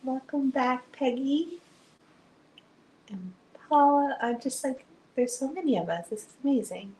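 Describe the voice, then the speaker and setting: Slightly hoarse woman streamer. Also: high-pitched, unhurried, reverberant, a little hiss or hum, low-quality recording. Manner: chatty and excitable, talking to viewers. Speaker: livestream host. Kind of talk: casual chat while doing a jigsaw puzzle.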